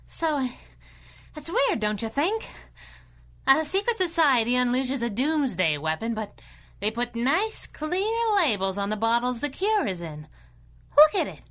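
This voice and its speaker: An actress playing a sassy, tough, quick-witted crime fighter with a wry, colloquial way of talking in a radio drama. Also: female voice, high-pitched, very animated, fairly bright tone, exaggerated voice.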